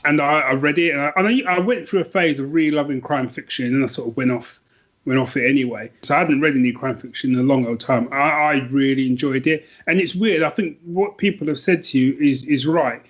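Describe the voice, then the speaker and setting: A man's voice: medium pitch, a little fast, fairly bright tone. A man chatting casually as a podcast guest about books, enthusiastic and rambling as he corrects himself, on dull, lo-fi audio. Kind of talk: casual conversational book chat.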